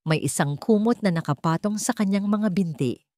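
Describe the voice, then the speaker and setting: A woman's voice: medium pitch, normal speed, darkish tone, no echo, studio quality. A woman narrator reading a scripted romance story in a dramatic storytelling style.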